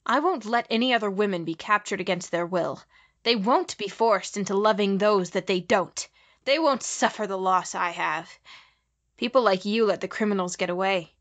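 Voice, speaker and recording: A woman playing a blinded, vengeful figure with power over light and dark, speaking in solemn, deliberate declarations in an audio drama. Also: medium pitch, natural voice. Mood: cold, unforgiving.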